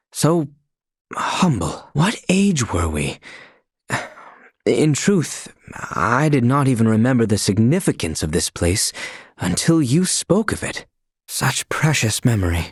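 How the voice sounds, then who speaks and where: Casting: a loyal young royal knight for a game, expressive range, soft husky edge, dry close sound, ornate old-fashioned diction. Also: male voice, mid-range voice, slow pace, pauses often, no echo, full character voice. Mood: tender nostalgia. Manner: formal, archaic, flowery courtly diction. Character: devoted young male knight serving a royal, earnest and courtly. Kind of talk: video game voice acting.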